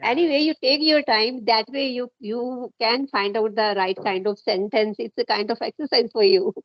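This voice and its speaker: Encouraging Indian woman, higher-pitched, dull call audio. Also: steady tone, no room sound. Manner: encouraging, unhurried, explanatory. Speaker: English-speaking guest, educator. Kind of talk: casual conversational English practice chat. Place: at home indoors, on a remote call.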